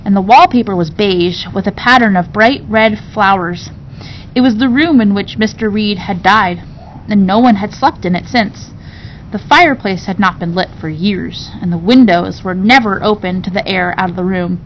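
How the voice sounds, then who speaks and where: A woman reading a classic novel aloud in an expressive storybook narration style. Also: moderate pace. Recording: dull recording with low rumbling noise.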